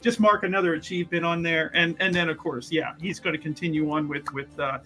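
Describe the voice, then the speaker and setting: Bright, high-voiced man playing a tabletop game. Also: fairly even intonation, fairly quick, soft background music. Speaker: player in a tabletop roleplaying game. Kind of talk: casual improvised roleplay narration and table talk.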